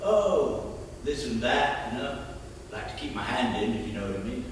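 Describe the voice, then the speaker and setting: A man's voice: medium pitch, big pitch swings, normal speed, noisy background, lightly acted. An actor playing a drunk, nosy upper-class man at a party, theatrical and sly. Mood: prying, teasing. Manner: theatrical, sly, drawling with sudden outbursts. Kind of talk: stage play performance. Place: theatre stage. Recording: echoey theatre stage from far off.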